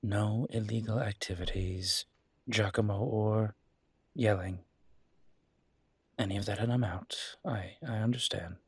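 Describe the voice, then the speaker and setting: A man playing a charming, teasing mafia boss who flirts politely in an intimate roleplay audio drama, with playful pauses. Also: lowish voice, steady tone, breathy edge, dry recording. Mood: smooth, persuasive.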